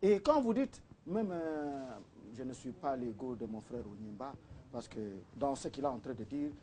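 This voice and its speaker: A man's voice: medium pitch, normal speed, mellow, a little hiss or hum. A man with a Congolese accent debating politics on television in an emphatic, challenging manner with rhetorical questions. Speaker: debate panelist for the ruling majority.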